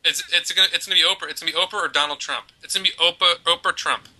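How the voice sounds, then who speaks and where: A man's voice: a little high, fairly even intonation, very fast, pushed and bright, rough recording. A man in his thirties from Baltimore, co-hosting a casual parenting chat over a video call from a room at home, rambling and joking at his own expense. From Baltimore, US East Coast. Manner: rambling, joking, self-mocking. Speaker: podcast co-host. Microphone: headset on a video call.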